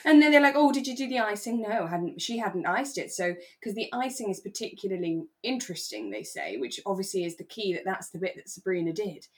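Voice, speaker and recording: A woman chats as a podcast co-host with a mid-pitched voice. She speaks casually and retells things expressively, slipping into quoted speech. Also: sing-song, brisk, few pauses. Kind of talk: casual chat.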